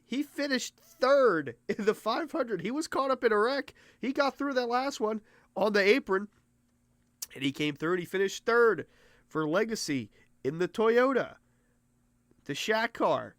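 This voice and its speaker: A hoarse man with a fairly high voice talks through a race recap in a loose, rambling way. He gets excitable as he goes, and the sound is dry and close. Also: unhurried, no room sound. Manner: rambling and excitable, mimics officials in mock voices. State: hoarse, losing his voice. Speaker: racing podcast host. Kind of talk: casual race recap commentary.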